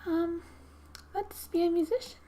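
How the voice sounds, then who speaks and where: A soft-spoken woman reading game text aloud in a gentle, hushed ASMR style with musing asides, often slipping into a whisper. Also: extremely high pitch, fairly even intonation, unhurried. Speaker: gaming ASMR host.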